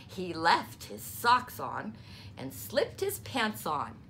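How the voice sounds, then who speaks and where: A woman reading a children's story aloud, deliberately, with playful emphasis on the sound words. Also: lowish voice, wildly swooping pitch, noisy background. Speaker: storybook reader. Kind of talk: children's read-aloud narration.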